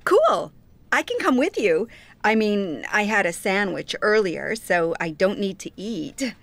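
A woman's voice: mid-range voice, sing-song, unhurried, vocal fry, no echo, heavily acted. A woman reading a scripted coursebook dialogue as an upbeat, chatty photographer.

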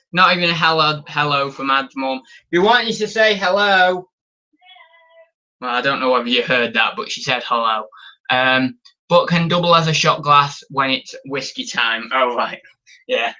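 A young man chats loosely on a live stream, showing off auction finds and reading the chat. He has a bright voice that sits a little high for a man, and he rambles and restarts with plenty of ums. The sound comes from a camera in a small room. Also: lo-fi sound. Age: young adult. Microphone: camera on tripod.

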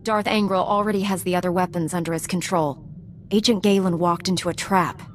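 An actress playing an earnest, resolute Jedi knight in a video game cutscene, speaking calmly and firmly. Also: female voice, fairly low pitch, brisk, slight background noise, natural voice. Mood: earnest, serious. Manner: calm, firm, earnest.

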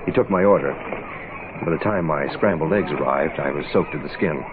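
An actor playing a cool, wry American private investigator, dry and hard-boiled, in 1960s radio noir. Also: male voice, a bass voice, fairly quick, noisy background. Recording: tinny lo-fi 1960s radio recording, music behind.

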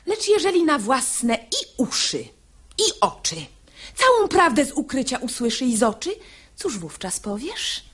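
An actress playing a poised, shrewd, exasperated wife in a verse comedy radio play, with theatrical, ironic diction. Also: female voice, high-pitched, very animated, unhurried, slightly rough, exaggerated voice.

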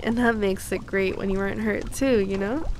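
A woman playing a cute, kind and teasing young alchemist girl in an ASMR roleplay, speaking softly and soothingly close to the mic. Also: medium pitch, background noise, natural voice. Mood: gentle, caring. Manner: soft, soothing, unhurried, playfully reassuring.

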